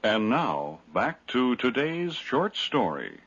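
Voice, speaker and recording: A man's voice: mid-range voice, sing-song, slow pace. A man announcing a radio drama programme in formal 1950s style. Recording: muffled 1950s radio broadcast over music.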